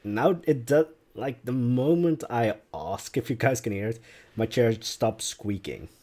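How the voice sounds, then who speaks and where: A man's voice: medium pitch, animated, unhurried, darkish tone. A young man whose first language is Dutch, chatting casually on a live stream as he builds, musing aloud and answering viewers' questions.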